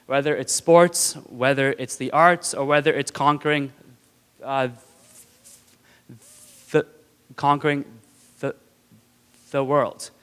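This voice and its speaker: A young man in his twenties speaks to an audience from a stage mic, his stutter catching on words and syllables as he pushes through. His voice is smooth and resonant, and it carries a long hall reverb behind it. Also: mid-range voice, fairly even intonation, takes it slowly, pauses often, a bit bright. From India. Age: 20s. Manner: earnest, lightly joking with the audience. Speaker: student speaker. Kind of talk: prepared stage talk. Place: auditorium stage.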